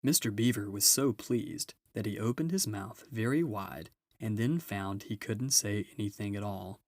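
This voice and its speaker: A man's voice: fairly low pitch, moderate pace, no room sound, clean studio sound. A man reading a children's novel aloud as scripted narration. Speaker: storybook reader.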